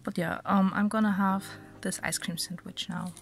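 A woman's voice: mid-range voice, fairly even intonation, normal speed, vocal fry, soft background music. A young woman vlogging casually from a small bedroom, hesitant and chatty with many fillers, sounding a little sick. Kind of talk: casual talk to camera and food voice-over.

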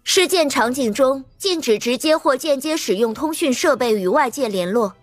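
High-pitched impersonal female system voice, acted. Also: expressive, normal speed, dry recording, faint music behind. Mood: stern, impersonal. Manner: formal, commanding announcement.

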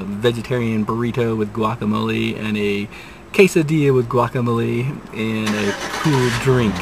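An older man reviewing restaurants from inside his car, talking in an unhurried, rambling way with dry, self-mocking asides. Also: medium pitch, background noise. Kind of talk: casual restaurant review vlog. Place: inside a parked car, daytime. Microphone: dashboard camera at close range.

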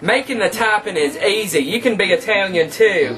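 A man's voice: high-pitched, expressive, moderate pace. A man playing a campy, thrifty trailer park queen, giving a folksy, tongue-in-cheek home cooking demonstration. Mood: folksy, cheerful instructing. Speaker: cooking show host. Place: small home kitchen. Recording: distant camera microphone, music behind.

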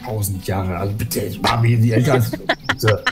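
A man's voice: on the low side, steady tone, normal speed, over music. A young man with a cold, grumbling and exasperated as a co-op gaming partner. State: has a cold. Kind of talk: casual gaming chat.

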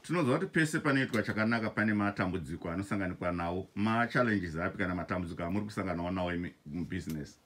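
A man, an off-screen interviewer asking long, measured questions. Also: low-pitched, a bit bright.

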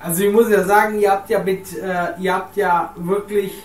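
A man's voice: high-pitched, flat delivery, normal speed. A young man hosting a review vlog, laid-back and colloquial, openly enthusiastic. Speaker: host, reviewer. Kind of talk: casual vlog chat. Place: small room on a sofa. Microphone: camera across the room. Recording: echoey small room.

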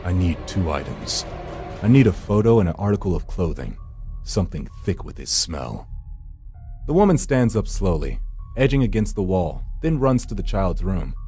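A man voicing a wry, secretive supernatural finder who narrates a horror visual novel with dry, theatrical sarcasm. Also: low-pitched. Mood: ominous. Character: wry, secretive male hired finder from the edge of death. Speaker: narrator and lead character. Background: background music.

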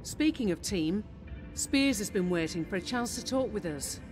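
An actress playing a confident, friendly veteran mercenary officer in a video game, frank and familiar. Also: female voice, mid-range voice, animated, normal speed, music in the background, lightly acted. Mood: friendly, assured. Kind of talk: video game cutscene acting.

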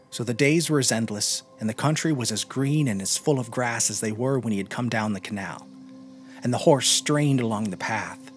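A man reading a literary short story aloud as a scripted narration. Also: medium pitch. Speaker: podcast reader.

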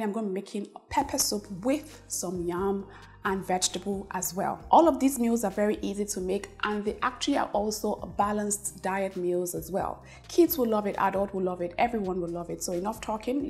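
A woman's voice: mid-range voice, a little mellow, slightly rough. A woman hosting a cooking tutorial, addressing viewers directly in a scripted, presenter-like way. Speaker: cooking show host.

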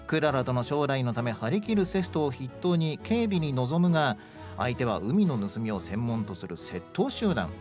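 Expressive male radio host narrating. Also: on the high side. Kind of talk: scripted narration of event synopsis. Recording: music behind the voice.

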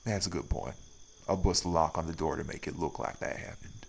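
A man's voice: low-pitched, brisk, vocal fry, a little hiss or hum. A man voicing a stern, weary wraith priest in an audio roleplay, with a laconic, folksy way of talking. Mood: calm, resolute. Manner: laconic, folksy, drawled nonstandard grammar. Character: stern, weary male wraith priest guarding his town. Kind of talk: scripted audio roleplay acting.

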